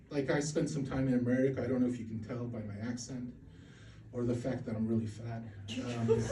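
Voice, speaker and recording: A man's voice: medium pitch, warm tone, slight background noise. A man in his forties from Poland doing a stand-up routine, rambling and self-mocking, with crude punchlines and lots of restarts. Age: 40s. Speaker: stand-up comedian. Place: comedy club stage. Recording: roomy venue sound from a distance.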